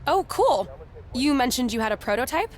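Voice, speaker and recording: An actress playing a curious, composed young newspaper reporter in an adventure game, polite and clearly phrased. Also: female voice, high voice, big pitch swings, normal speed, faint music behind. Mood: friendly, curious. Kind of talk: adventure game narration and dialogue.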